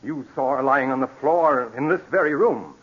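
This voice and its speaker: A man's voice: mid-range voice, moderate pace, a bit bright. A man playing a scheming, domineering blackmailer in the theatrical style of 1940s radio drama. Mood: defensive. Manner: theatrical, smooth-tongued, stammers when cornered. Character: scheming, domineering male blackmailer, smug and evasive. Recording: muffled old radio broadcast recording.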